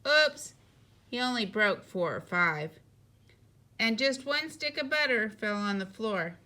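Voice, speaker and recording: Slow, bright-voiced woman reading a storybook. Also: mid-range voice, expressive. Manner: emphatic sound words, deliberate phrasing. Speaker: teacher reading a picture book. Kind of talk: expressive children's storybook narration.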